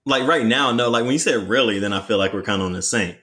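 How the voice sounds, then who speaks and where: A young man co-hosting a casual podcast chat, talking in an animated, playful, teasing way. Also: on the low side, fast. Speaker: podcast co-host.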